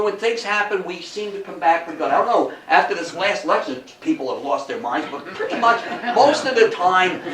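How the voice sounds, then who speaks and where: A man's voice: sits high, fairly bright tone. An older American man giving an informal history lecture, telling stories in a folksy, emphatic way to a live audience. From United States. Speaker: guest lecturer on old-time radio history. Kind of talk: informal public lecture with anecdotes. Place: indoor talk to a live audience at a historical society. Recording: roomy, distant mic with faint noise.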